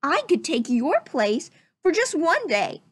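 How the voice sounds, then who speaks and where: A woman's voice: high voice, very animated, slow pace, no room sound, pitched above the performer's own voice, exaggerated voice. A woman voicing a self-assured, bored young prince in a children's storybook, emphatic and playfully commanding. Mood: scheming, restless. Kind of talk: children's storybook read-aloud.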